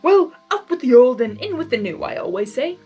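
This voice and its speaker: A woman's voice: sits high, sing-song, a little fast, warm tone, husky, faint music behind, heavily acted. A woman voicing a playful, nonchalant male chaos monster from a story, teasing and emphatic. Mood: breezy. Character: cocky, playful, theatrical male chaos monster courting a pony.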